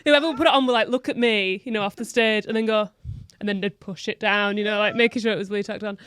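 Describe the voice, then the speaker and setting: Chatty smooth-voiced woman. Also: medium pitch, fairly even intonation, quick pace, fairly bright tone. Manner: chatty, lots of "like", laughs through stories. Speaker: podcast host. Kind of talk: casual chat.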